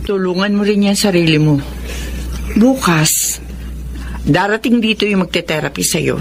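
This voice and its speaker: A woman's voice: mid-range voice, moderate pace, slight background noise, natural voice. A voice actress playing a patient, caring middle-aged mother in a Filipino radio drama.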